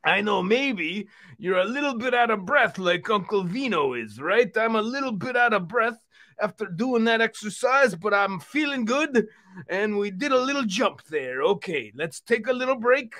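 A man voicing an excitable, friendly male puppet who hosts an exercise show for children and repeatedly calls out to the audience. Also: sits high, normal speed, pitched above the performer's own voice, full character voice. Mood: excited, encouraging. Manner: exclamatory, repetitive, addresses the audience.

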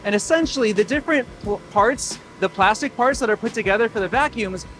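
A man in his thirties hosting a factory tour, talking casually and excitedly with a clip-on mic on a large factory floor. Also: high voice. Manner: excited, repeats "really cool". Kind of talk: casual enthusiastic tour chat.

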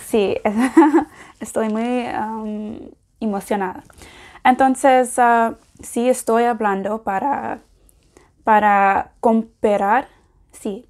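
Slow, halting young woman learning Spanish. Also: medium pitch, a little mellow. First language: English. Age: young adult. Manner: halting, many fillers and self-corrections, laughing at slips. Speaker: language learner vlogger. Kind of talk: casual video diary in learner Spanish. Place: indoor room at home. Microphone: lapel mic.

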